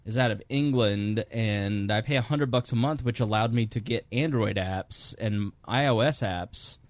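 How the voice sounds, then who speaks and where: A man's voice: on the low side, measured pace, no room sound. Rambling man hosting a podcast, dry sound. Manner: rambling, self-deprecating, explains gear in detail. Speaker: podcast host. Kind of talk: casual studio chat. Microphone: studio dynamic microphone.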